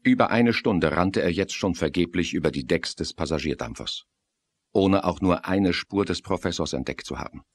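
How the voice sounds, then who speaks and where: A man's voice: low-pitched, moderate pace, dry recording. A man reading scripted narration for a thriller audio drama.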